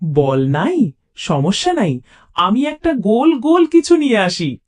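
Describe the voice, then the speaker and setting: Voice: mid-range voice, very animated, slow pace, darkish tone. A storyteller voicing a cheeky, resourceful village boy in a children's tale. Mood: breezy.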